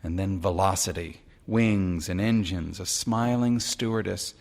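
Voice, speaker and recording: A man narrating a literary short story in a measured, dramatic way. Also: low voice, creaky, darkish tone. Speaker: audiobook-style short story reader.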